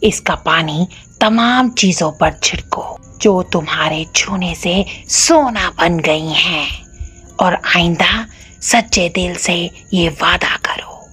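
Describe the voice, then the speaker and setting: A woman voicing a mysterious male stranger in a cartoon tale, taunting and deliberate, with a husky breathy voice. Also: lowish voice, fairly bright tone, voice pushed down, full character voice. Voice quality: husky, breathy, sometimes whispery. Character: mysterious male stranger, mocking, testing a greedy king. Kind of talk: Urdu cartoon storybook dialogue.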